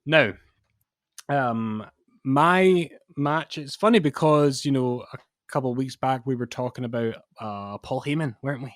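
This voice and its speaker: A man in his late twenties co-hosting a wrestling podcast, chatting casually in a halting, reflective way as he explains things. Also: mid-range voice, no room sound, studio quality. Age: late 20s. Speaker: podcast co-host.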